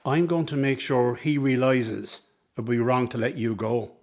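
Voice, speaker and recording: Smooth-talking, scheming circus man, played by an actor. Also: mid-range voice, moderate pace, darkish tone, close to an everyday voice. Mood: earnest, coaxing. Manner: ingratiating, persuasive, coaxing. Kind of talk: dramatic radio play dialogue.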